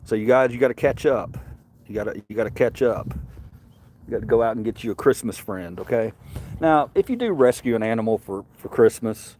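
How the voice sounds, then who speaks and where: A man's voice: medium pitch, expressive, brisk, a little mellow, slight background noise. A middle-aged man from Mississippi with a Southern accent, chatting casually on a livestream, rambling and repeating words. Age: Gen X, middle-aged. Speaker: livestream host.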